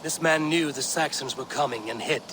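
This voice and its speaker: An actor playing a stern Viking warrior questioning suspects in a video game, terse and firm. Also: male voice, fairly high pitch, moderate pace, noisy background, close to an everyday voice. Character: stern, probing Viking warrior investigating a betrayal. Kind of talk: video game dramatic acting.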